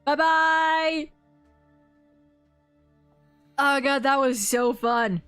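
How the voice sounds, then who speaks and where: A woman hosting a livestream, chatting casually and excitably and swearing freely. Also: on the high side, normal speed, soft background music. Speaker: streamer host.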